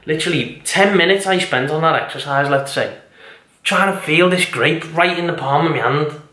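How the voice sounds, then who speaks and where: A man's voice: fairly high pitch, normal speed, sharp tone. A young man, talking casually and at length in a personal vlog, rambling and self-deprecating with tangents. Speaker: vlogger. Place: indoors at home. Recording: echoey room.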